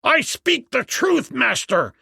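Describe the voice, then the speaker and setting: Guy doing a scared priest in an adventure audiobook, voice way up high, urgent and panicky. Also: very animated, slow, vocal fry, pushed and bright, husky, no room sound, studio quality, exaggerated voice. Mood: panicked. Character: frightened male priest, panicked, urgent servant.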